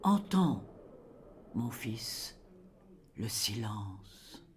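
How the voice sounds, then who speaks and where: Very slow, solemn poetry reader. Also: medium pitch, sing-song, a little hiss or hum. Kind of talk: slow dramatic poetry recitation.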